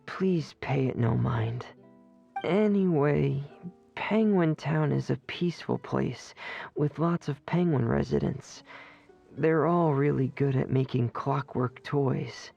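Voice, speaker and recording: An actor voicing a shy, gentle, dreamy teenage boy in a video game, speaking softly and earnestly with some hesitation. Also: mid-range voice, slow, vocal fry, dull audio, faint music behind, full character voice. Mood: shy, wistful. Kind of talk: video game character acting.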